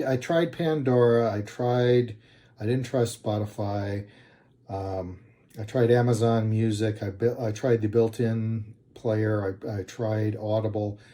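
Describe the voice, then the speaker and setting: Slow-talking man, mellow voice, reviewing casually. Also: medium pitch. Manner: hesitant, halting, self-correcting. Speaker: product reviewer, channel host. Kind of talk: casual unscripted product review.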